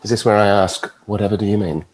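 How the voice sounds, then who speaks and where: Suave, defiant devil for a TV drama male actor, lowish voice, wry theatrical phrasing. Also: steady tone, fast, lightly acted, rough recording. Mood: brooding, wry. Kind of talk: scripted table read.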